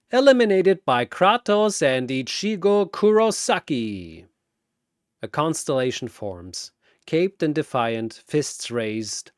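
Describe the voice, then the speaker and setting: Dramatic male narrator with sweeping, animated delivery. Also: mid-range voice, no room sound, studio quality. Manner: theatrical, pausing for emphasis. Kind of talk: dramatic scripted battle narration.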